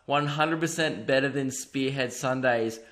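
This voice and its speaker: A man with a high-pitched voice hosts a casual podcast in an echoey room, swearing freely and joking. His talk is loose and teasing, full of quick asides. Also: fairly bright tone, a little hiss or hum. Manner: joking, sweary, reads out listener reviews. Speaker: podcast host. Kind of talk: casual chat.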